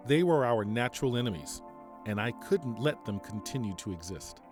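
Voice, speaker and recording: Man acting formal, commanding army general, lively pitch. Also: over music, close to an everyday voice. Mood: grave, resolute. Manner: formal, measured, deliberate speech. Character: stern, principled post-apocalyptic army general, composed and formal. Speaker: military general giving a radio interview. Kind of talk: audio drama acting.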